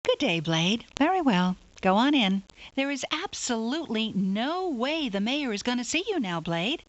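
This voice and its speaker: A woman's voice: fairly high pitch, sing-song, moderate pace, dry recording. A woman playing a haughty, teasing receptionist in a video game, with a curt, airy manner. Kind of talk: character dialogue in a video game. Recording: dull, dry lo-fi game audio.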